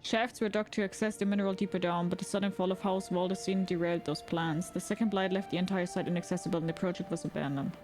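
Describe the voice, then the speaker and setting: A woman talks casually to her stream while she plays, asking viewers questions and reading in-game text out loud. Her voice sits a bit deep for her, and soft game music runs underneath. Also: steady tone, quick pace, hardly any pauses. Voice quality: says her voice is fairly deep. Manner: chatty, reads out game text, asks chat questions. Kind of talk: casual gaming chat with viewers.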